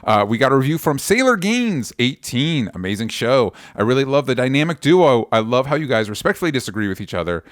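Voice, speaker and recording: A man hosting a podcast in a casual, animated, informal way, chatting and making show announcements. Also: mid-range voice, a little fast, few pauses, dry recording, studio quality. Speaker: podcast host.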